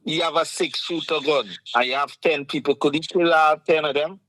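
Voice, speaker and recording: A man's voice: a little high, fairly even intonation, a little fast, fairly bright tone, no room sound, poor audio. A man with a Guyanese Creole accent co-hosting a casual chat, earnestly explaining points with examples. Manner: earnest, explaining with examples, frequent "you understand". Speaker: co-host.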